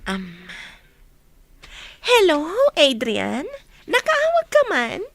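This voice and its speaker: High-pitched scheming mistress played by a woman. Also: huge pitch swings, very slow, vocal fry, fairly bright tone, faint music behind, heavily acted. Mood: gloating. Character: scheming young mistress, gloating and covetous. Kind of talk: radio drama acting.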